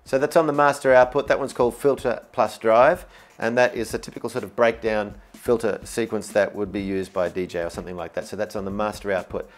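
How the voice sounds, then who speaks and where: A man's voice: lowish voice, steady tone, fairly quick. A man giving an upbeat, informal and enthusiastic instructional product demo, explaining features as he goes. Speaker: product demonstrator. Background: keyboard music.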